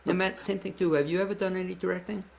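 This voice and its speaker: A man's voice: high-pitched, little pitch movement, fast. A man hosting a casual, chatty interview, asking rambling, loosely phrased questions. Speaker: interview host. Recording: clearly noisy background.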